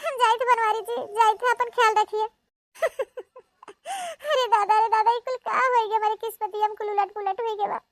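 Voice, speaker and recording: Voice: extremely high pitch, steady tone, normal speed, background noise. A performer voicing a wronged, heartbroken village wife and mother in a cartoon melodrama, plaintive and pleading, with an eastern Uttar Pradesh Awadhi accent. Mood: wailing. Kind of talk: regional cartoon comedy melodrama.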